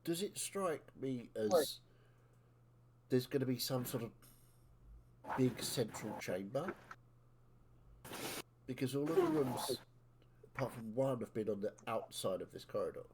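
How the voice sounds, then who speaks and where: A man's voice: medium pitch, expressive, measured pace, long pauses. Male player with a noisy mic. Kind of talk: casual chat at the game table.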